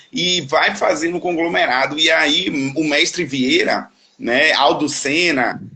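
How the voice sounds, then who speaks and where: A man's voice: on the high side, pushed and bright. A man who teaches, explaining history on a panel and often checking in with "né". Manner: lecturing, with frequent "né".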